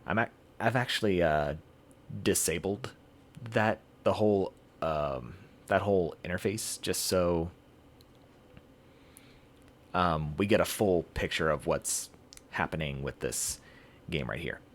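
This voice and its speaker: A man hosting a game livestream, chatting casually in a halting, rambling way. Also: low voice. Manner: halting, rambling delivery with throat clearing. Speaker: game streamer, host.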